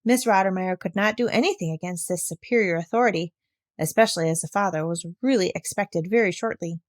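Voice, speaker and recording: A woman narrating a children's story as an audiobook reader, in an expressive style. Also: on the low side, brisk, dry recording, studio quality.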